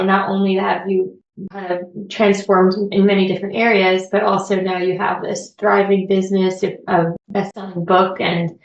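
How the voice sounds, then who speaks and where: A woman with a mid-range voice hosts a podcast in an upbeat, polished way. Her voice carries a clear echo from the room around her. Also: mid-range voice, lo-fi sound. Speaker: podcast host. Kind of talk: podcast interview hosting.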